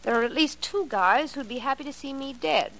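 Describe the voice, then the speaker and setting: A woman's voice: high-pitched, a little fast, a bit bright, slight background noise. An actress playing a blunt, streetwise young woman who fears for her life, in a 1940s radio drama. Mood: tough. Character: tough, wisecracking young woman in danger.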